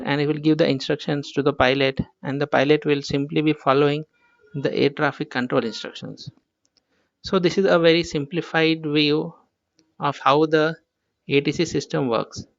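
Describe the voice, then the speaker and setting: A man with an Indian accent teaches in a fairly high, smooth voice. He explains informally, one step at a time, circling back over his points. The recording is dry and a little dull. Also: dry recording. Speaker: instructor.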